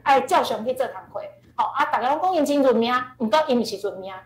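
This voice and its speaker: A woman with a Taiwanese accent speaks as a teacher, explaining things in an informal, animated way. Her voice sits fairly high, and the recording is roomy and echoing. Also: quick pace. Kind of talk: informal lecture, explaining song lyrics. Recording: roomy, echoing online-class audio.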